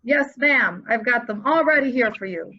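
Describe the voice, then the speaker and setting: A folksy, opinionated junior police officer played by a woman grumbles through a murder mystery reading, deferential to the boss but dour. The voice is fairly high, on a slightly muffled lo-fi recording. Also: expressive, moderate pace, a little hiss or hum, lightly acted. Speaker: actor in a library's dramatic reading. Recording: slightly dull, lo-fi audio.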